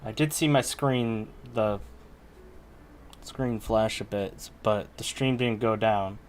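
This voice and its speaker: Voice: mid-range voice, slow pace, slight background noise. A game streamer chatting casually and reacting to a game in short, offhand remarks. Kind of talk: casual livestream reaction chat.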